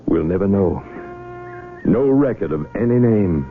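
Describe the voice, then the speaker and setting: Old narrow-band radio sound: low-voiced, matter-of-fact physician, measured and thoughtful. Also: male voice, animated. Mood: sober, reflective. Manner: measured, explanatory. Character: matter-of-fact male doctor, sober and thoughtful. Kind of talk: 1970s radio drama dialogue.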